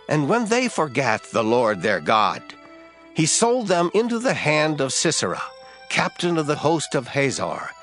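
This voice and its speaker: A man's voice: fairly high pitch, fairly bright tone. An actor playing an old, gray-headed prophet who addresses his nation in a solemn, stern, declamatory way, as in a scripture drama. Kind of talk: dramatized scripture acting, oratorical. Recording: music bed behind the voice.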